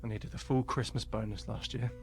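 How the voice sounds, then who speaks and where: A man's voice: mid-range voice, steady tone, fast, vocal fry, lightly acted. Radio play casting: hedging, put-upon junior male worker, acted by a man, mid-range voice, faint music underneath. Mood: aggrieved. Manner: rambling, hedging. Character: evasive, aggrieved low-ranking male factory worker.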